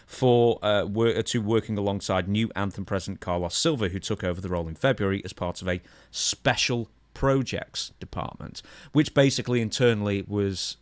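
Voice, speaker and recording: Low-voiced man hosting a podcast. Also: normal speed, hardly any pauses, dry recording. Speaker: podcast host. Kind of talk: conversational news presenting and questioning.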